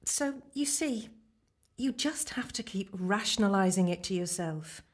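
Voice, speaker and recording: Woman playing an animated, upbeat therapist. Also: mid-range voice, a little slow, heavy background noise, close to an everyday voice. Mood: earnest encouragement. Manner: earnest, reassuring. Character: upbeat, encouraging female therapist, slightly daft. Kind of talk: radio play acting.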